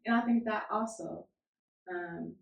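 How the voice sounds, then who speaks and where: A woman co-hosting a podcast as a family therapist, telling stories in a lively way and laughing often. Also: mid-range voice, measured pace, creaky. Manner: animated storyteller, laughs often. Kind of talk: casual podcast chat. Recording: echoey room.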